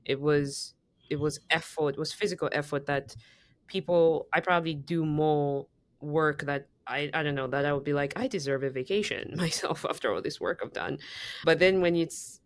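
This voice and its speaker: A woman's voice: sits low, steady tone, normal speed. A woman from Zambia co-hosting a podcast, telling a story in casual chat with many fillers and restarts. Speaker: podcast co-host.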